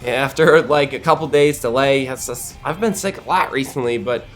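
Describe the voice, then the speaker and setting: A man's voice: mid-range voice, moderate pace, soft background music. An American man hosting a gaming series, giving casual, rambling, conversational commentary while a bit sniffly from getting over the flu. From Ohio, United States. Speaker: gaming YouTuber, series host.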